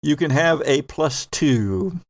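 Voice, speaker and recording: A man narrating a sci-fi horror tabletop game as host and game master, with measured, dramatic storytelling. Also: fairly high pitch, lively intonation, creaky, dry recording.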